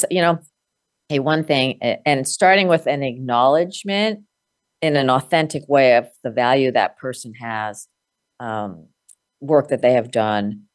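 Host: woman with a deep voice, dry close-miked sound, reflective coaching tone. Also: no echo. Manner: reflective, uses filler "like" and asks check-in questions. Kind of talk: conversational interview, coaching advice.

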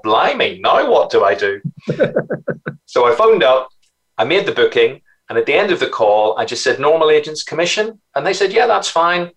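A man's voice: medium pitch, low-quality recording. Belfast man telling business stories. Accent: Belfast, Northern Irish. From Northern Ireland. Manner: animated, anecdotal, repeats words when starting. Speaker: guest entrepreneur. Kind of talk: interview storytelling.

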